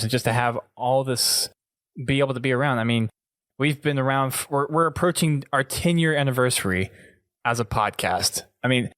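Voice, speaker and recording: Male podcast host, mid-range voice, deliberate conversational delivery, dry close sound. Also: mid-range voice, fairly bright tone, dry recording. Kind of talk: casual podcast discussion.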